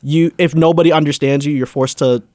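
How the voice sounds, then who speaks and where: A man's voice: fairly high pitch, fairly even intonation, fairly quick, mellow, no echo. Earnest young man, dry sound. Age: young adult. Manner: earnest, filler-heavy with many likes and uhs. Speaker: student guest. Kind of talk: casual interview answers.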